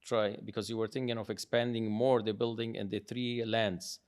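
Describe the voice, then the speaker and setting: A man's voice: fairly low pitch, flat delivery, normal speed, smooth. A middle-aged man telling his life story in a casual conversation, proud and rambling, often restarting his sentences. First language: English. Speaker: guest, father of the host.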